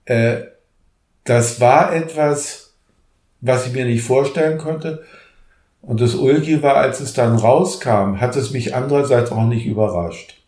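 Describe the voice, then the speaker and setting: A man giving reflective interview commentary, pausing between short phrases, recorded in an echoey room. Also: mid-range voice, a little mellow.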